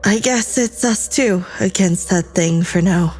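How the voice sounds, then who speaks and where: A woman's voice: mid-range voice, measured pace, darkish tone, soft background music, natural voice. A woman playing an anxious, defiant crew member in an audio drama, speaking earnestly and with emotion. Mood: uneasy.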